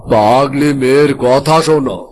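A man's voice: medium pitch, a little mellow. A man voicing a poor, worried fisherman father in a cartoon, with a rural Bangla dialect and an earthy, fretful manner. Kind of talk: cartoon voice acting.

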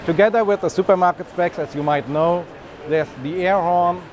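A man's voice: high voice, normal speed. A man in his thirties giving a walking product demonstration as a business development manager, explaining and pointing as he goes. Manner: explanatory, walks and points while talking. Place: large busy trade fair hall. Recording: noisy trade fair hall.

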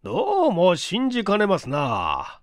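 A man's voice: high voice, wildly swooping pitch, measured pace, no echo, clean studio sound, pitched above the performer's own voice, full character voice. A man voicing a composed police chief in an audiobook, with a formal, businesslike manner. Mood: doubtful, courteous.

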